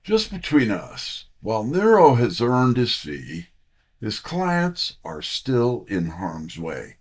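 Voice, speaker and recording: An actor playing a wry, breezy detective's assistant in an audio drama, with a theatrical, wisecracking delivery. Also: male voice, medium pitch, wildly swooping pitch, takes it slowly, husky, full character voice. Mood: earnest. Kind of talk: full-cast audio drama, detective narration.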